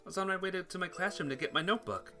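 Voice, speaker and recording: A man's voice: sits high, rapid-fire, sharp tone. A man playing a visual novel on stream, commenting casually and reading the game's text aloud, sometimes stumbling and correcting himself. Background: game music.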